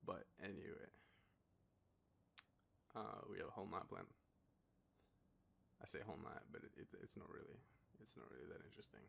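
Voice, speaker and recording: A young Australian man acting an intimate boyfriend roleplay as a shy, nervous partner, speaking softly and haltingly into a close microphone. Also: sits low, expressive. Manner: hesitant, nervous, halting with breathy trailing phrases. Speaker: audio roleplay performer. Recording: faint background noise, ambient sound.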